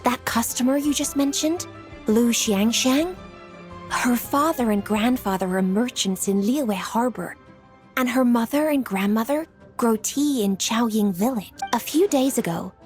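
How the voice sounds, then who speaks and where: An actress playing a poised, businesslike young official in a video game, articulate, formal and composed. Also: female voice, fairly high pitch, measured pace, lightly acted. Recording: music under the voice.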